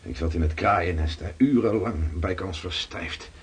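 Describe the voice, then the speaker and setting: A man's voice: low voice, measured pace, breathy edge, full character voice. A man playing a hearty returning seaman. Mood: tender. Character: seafaring man back from Arctic voyage, adult. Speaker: actor in radio play. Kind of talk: radio drama acting.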